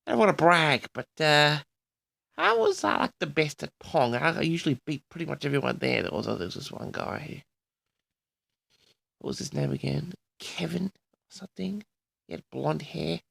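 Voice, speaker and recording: A man in his sixties from Australia hosting a personal audio log, reminiscing in a rambling, halting and boastful way straight to his listeners. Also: a little high, big pitch swings, vocal fry, a bit bright. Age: 60s. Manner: boastful, halting, often addresses the audience.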